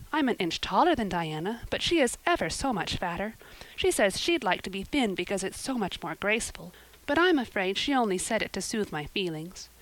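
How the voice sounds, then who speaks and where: A woman's voice: medium pitch, dry recording, close to an everyday voice, poor audio. A woman voicing an eager, talkative orphan girl with a gushing, romantic way of speaking.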